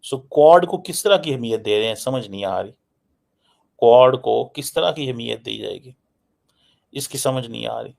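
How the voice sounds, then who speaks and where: A man's voice: medium pitch, moderate pace, creaky, a little mellow. A man with a Pakistani accent giving informal political commentary, emphatic and repetitive, dropping English phrases into his talk. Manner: emphatic, repeats phrases for effect. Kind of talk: informal news analysis, mixing English into his speech.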